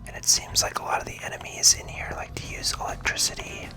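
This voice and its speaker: A game channel host giving ASMR commentary in a soft, breathy whisper, hushed and unhurried as they explain the play. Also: over music.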